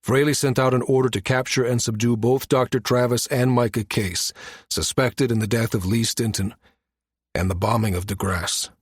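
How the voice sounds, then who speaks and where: Man acting a firm, measured security chief. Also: medium pitch, steady tone, breathy edge, no echo, studio quality, natural voice. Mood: grave. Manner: measured, matter-of-fact, authoritative. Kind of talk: audiobook character dialogue.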